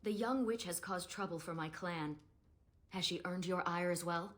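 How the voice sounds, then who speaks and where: A woman's voice: fairly low pitch, moderate pace, fairly bright tone, close to an everyday voice. An actress playing a proud, guarded young elven woman in a fantasy game, speaking earnestly and formally. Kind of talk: scripted fantasy game dialogue.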